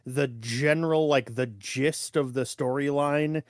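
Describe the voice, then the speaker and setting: A man with a mid-pitched voice co-hosts a casual podcast discussion. He is laconic and matter-of-fact in how he confirms and explains things. The recording is dry, with little sense of a room. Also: fairly even intonation, unhurried, dry recording. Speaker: podcast co-host.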